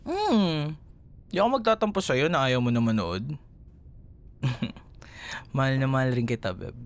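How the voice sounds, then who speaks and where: A man plays a tender Filipino boyfriend in an intimate ASMR roleplay. He murmurs slowly and affectionately. His mid-pitched voice moves with lively inflection. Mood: soothing, tender. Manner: soothing, affectionate, soft murmurs. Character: gentle, doting young boyfriend comforting a scared partner.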